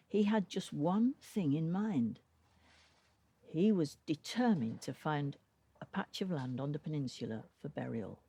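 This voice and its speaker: A woman reading a traditional folk tale aloud as a storyteller, with dramatic, deliberate phrasing. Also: low-pitched, creaky, warm tone, slightly rough.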